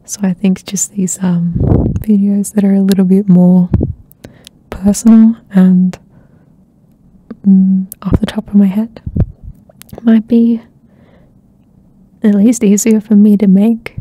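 A young woman hosting an ASMR channel, chatting softly and rambling with lots of fillers, often dropping into a whisper close to the mic. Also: mid-range voice, level tone, takes it slowly, pauses often, slight background noise, rough recording. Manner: soft-spoken, slips into whispers, many fillers. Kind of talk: soft-spoken rambling catch-up chat. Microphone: close ASMR microphone.

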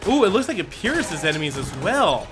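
A man giving casual, excitable commentary as he plays a video game, often talking to himself. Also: sits high, normal speed. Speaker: gaming YouTuber. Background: game music and gunfire.

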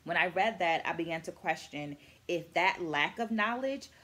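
A middle-aged woman speaking deliberately and articulately to viewers as an educator in a reflective book vlog, stressing key words. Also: fairly low pitch, lively intonation, bright, forceful tone. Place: small furnished room indoors.

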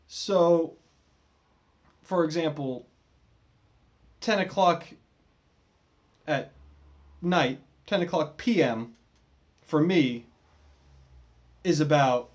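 A man in his twenties with an American accent giving a casual vlog update in a hesitant, self-deprecating, animated way, recorded in a small bedroom. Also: fairly high pitch. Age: 20s. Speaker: video creator.

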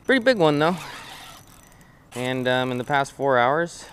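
A man's voice: medium pitch, animated, unhurried, a bit bright, a little hiss or hum. Laid-back young man fishing outdoors. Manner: laid-back, rambling, self-deprecating, many "uh"s. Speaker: fishing vlogger. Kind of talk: casual outdoor commentary to camera. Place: outdoors on a creek bank under a bridge. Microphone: head-mounted action camera.

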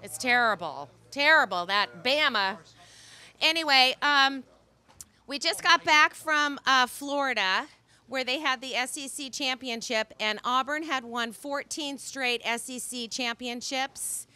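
Bubbly high-voiced woman cheering. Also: measured pace, sharp tone, smooth. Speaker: club member speaking at a dinner meeting. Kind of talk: casual speech to a club audience. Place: outdoor restaurant patio at night. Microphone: handheld mic.

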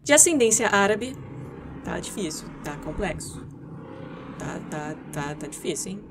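A woman's voice: fairly low pitch, sing-song, measured pace, noisy background. A Brazilian woman reading scripted narration in outtakes, slipping into casual, self-mocking muttered asides. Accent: Brazilian Portuguese. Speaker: narrator of horror fiction records.

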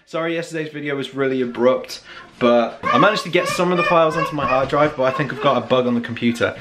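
A man's voice: medium pitch, fast, heavy background noise. A man, chatting playfully and casually to a handheld vlog camera. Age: 20s. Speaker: family vlogger, father. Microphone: camera held at arm's length. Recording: loud music over the voice.

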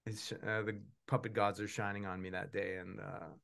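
A man's voice: fairly low pitch, flat delivery, normal speed, gravelly, a bit bright. A middle-aged man answering questions on a video call, chatting casually in a rambling, self-effacing way. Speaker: puppeteer answering audience questions. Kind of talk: casual chat. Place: indoor room at home, video call.

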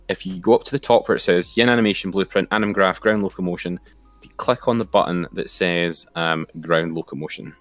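Male tutorial presenter, deep register, patient and informal, dry sound over soft background music. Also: no room sound. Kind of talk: informal step-by-step software tutorial.

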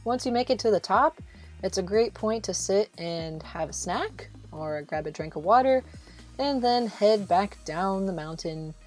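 A woman's voice: mid-range voice, expressive, unhurried. Woman narrating a trail guide over music. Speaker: travel vlog host narrating a hiking guide. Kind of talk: casual instructional voice-over narration. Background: background music.

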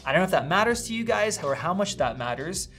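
A man's voice: high voice, very animated, fast talker, a bit bright, soft background music. Tech vlogger, man in his thirties, upbeat and chatty, hands-on testing at a desk. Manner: upbeat, conversational, thinking aloud while testing. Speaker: tech reviewer. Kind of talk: casual product review. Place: small indoor studio room with a vent running.

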